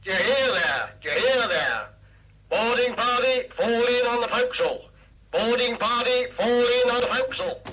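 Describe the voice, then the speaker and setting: Very high-pitched bosun's mate calling orders. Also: male voice, expressive. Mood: commanding call. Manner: drawn-out, repetitive calling. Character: loud bosun's mate piping orders shipwide. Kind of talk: radio drama, shouted announcement. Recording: noisy old radio broadcast.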